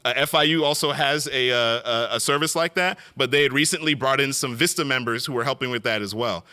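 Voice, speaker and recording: Middle-aged guy giving an awards speech, earnest, lots of uhs and restarts, bright forceful voice. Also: mid-range voice, a little fast. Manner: earnest, with frequent fillers and restarts. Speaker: speaker at an awards event. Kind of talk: storytelling speech.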